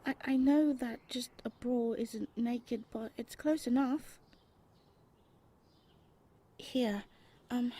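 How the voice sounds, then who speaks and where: A young adult woman voicing a shy, sweet roommate in an ASMR audio roleplay, speaking softly and hesitantly with breathy whispered asides. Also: on the high side. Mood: shy, flustered. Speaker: voice actor.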